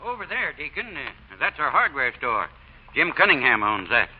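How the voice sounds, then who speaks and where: An actor playing an affable, eager-to-please small-town sheriff with a hearty, folksy manner in old radio drama. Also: male voice, fairly high pitch, very animated, moderate pace. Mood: friendly. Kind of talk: 1940s radio acting. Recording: muffled, noisy old radio recording.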